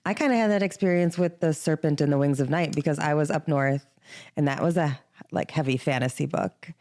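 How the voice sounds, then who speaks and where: A woman's voice: deep voice, brisk, darkish tone. A woman co-hosting a podcast, talking in a casual, chatty way with informal, conversational phrasing. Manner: chatty, informal, frequent "like" as filler. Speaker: podcast co-host. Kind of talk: casual book chat.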